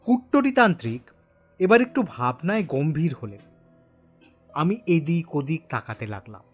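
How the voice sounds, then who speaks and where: A man narrates an audio horror tale in the first person, his voice fairly high and very expressive. He phrases each moment deliberately for suspense, over soft background music. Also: slightly rough.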